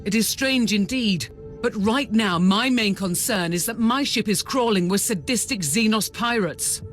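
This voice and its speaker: An actor playing an anxious, formal starship captain in a video game, reporting with urgency. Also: male voice, high voice, measured pace, fairly bright tone, faint music behind. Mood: alarmed. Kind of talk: dramatic video game acting.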